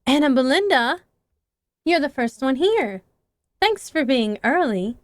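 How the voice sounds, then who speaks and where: A woman voicing a poised, friendly teenage club president who speaks calmly and a little teasingly. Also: high-pitched, measured pace, no echo, clean studio sound. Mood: friendly, knowing.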